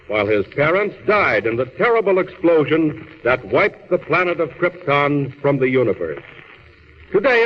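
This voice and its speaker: A man narrating a superhero radio serial in a grand, declamatory 1930s style. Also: a little high. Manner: theatrical, declamatory, crisp phrasing. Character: grand, urgent male storyteller of a superhero serial. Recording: dull, hissy old radio recording.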